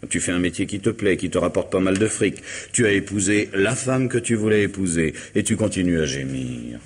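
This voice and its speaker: An actor playing a suave, middle-aged lawyer in a French radio mystery, wry, urbane and unruffled. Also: male voice, low voice, expressive, brisk, husky. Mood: wry, teasing. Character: suave, ironic middle-aged male lawyer, coolly self-possessed.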